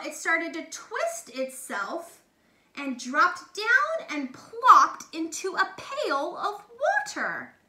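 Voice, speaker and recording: A woman's voice: sits high, pushed and bright. A woman teacher narrating a children's storybook expressively and emphatically, speaking directly to young children. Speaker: teacher reading aloud to children. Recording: echoey room with faint noise.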